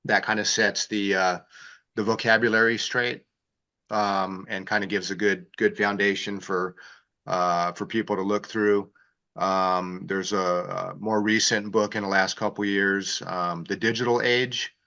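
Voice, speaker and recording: A man hosts a video call in a hesitant way, with many fillers between his phrases. His low voice stays flat and sounds bright. The audio is dull. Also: unhurried. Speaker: host. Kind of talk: casual interview talk.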